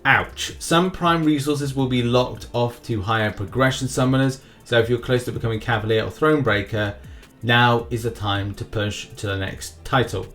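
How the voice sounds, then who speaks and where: A man gives casual commentary as a gaming news host, with a mid-pitched voice and faint music underneath. He rambles somewhat, circling back to repeat phrases for emphasis. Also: fairly even intonation, normal speed, a bit bright. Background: background music.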